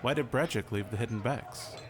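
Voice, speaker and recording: A man's voice: medium pitch, a little fast. A man delivering scripted dialogue as the player character in a video game. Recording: music behind the voice.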